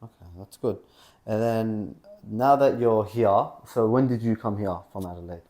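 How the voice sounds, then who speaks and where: A man's voice: lowish voice, normal speed, mellow. A man interviewing a guest, asking questions hesitantly and restarting them as he goes. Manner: hesitant, repeats his question openings.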